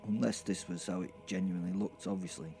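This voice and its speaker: A man's voice: low voice, steady tone, fast talker, gravelly, warm tone, soft background music. A man playing an irreverent, foul-mouthed werewolf who narrates an audio drama with hesitant, rambling, wry asides. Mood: exasperated, wry. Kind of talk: first-person horror comedy audio drama narration.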